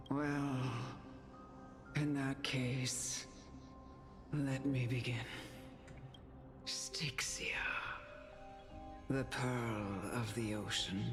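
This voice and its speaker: An actress playing a weary, kindly elderly storyteller spirit in a video game, with a grave, halting, breathy delivery. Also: female voice, extremely low pitch, exaggerated voice. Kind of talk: scripted video game voice acting. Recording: game audio under clear music.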